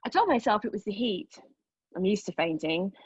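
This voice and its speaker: An actress playing a haunted young woman in a dramatic stage monologue, recounting a painful memory in a halting, intense way. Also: mid-range voice, very animated, breathy edge, dry recording, dull audio. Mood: reflective, uneasy. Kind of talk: dramatic monologue read at a table read of a play.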